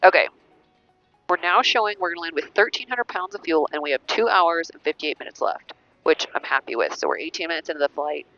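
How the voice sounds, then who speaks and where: A woman's voice: low-pitched, expressive, brisk, bright, forceful tone, no room sound, faint music behind. An American woman pilot making radio calls and chatting casually to viewers over an aviation headset in a cockpit. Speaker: pilot and vlogger. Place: small turboprop cockpit in flight. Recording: muffled cockpit headset audio.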